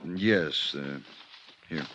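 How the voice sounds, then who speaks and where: An American actor playing a worried, earnest lawyer with a hesitant manner in a 1950s radio drama. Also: male voice, rumbling low, very slow, bright, forceful tone, heavily acted. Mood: earnest, explaining. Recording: dull vintage radio recording.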